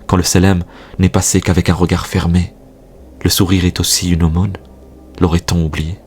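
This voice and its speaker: A man with a husky voice recites spoken-word poetry in a solemn, earnest, exhortatory manner, posing rhetorical questions. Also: low voice, flat delivery, normal speed, darkish tone, a little hiss or hum. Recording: music bed behind the voice. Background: music.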